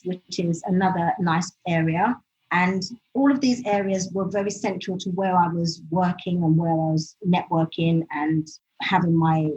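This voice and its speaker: A woman's voice: lowish voice, darkish tone, a little hiss or hum. Chatty British-accented woman, lo-fi sound. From Nigeria. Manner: chatty storytelling, laughs easily. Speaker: podcast guest. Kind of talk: casual interview conversation.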